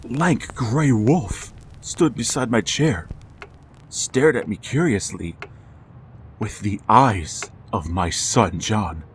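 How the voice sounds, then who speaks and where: A man's voice: fairly low pitch, slight background noise, lightly acted. A man acting a grieving father who narrates and pleads with his returned son in a radio drama, with a stammering, emotional delivery. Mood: haunted.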